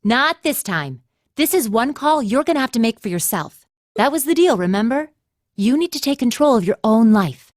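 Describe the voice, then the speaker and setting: A woman's voice: on the high side, sing-song, normal speed, no room sound, studio quality. An actress playing a breezy, teasing young female academy instructor in an anime game dub, playful and casual in manner. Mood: firm, encouraging.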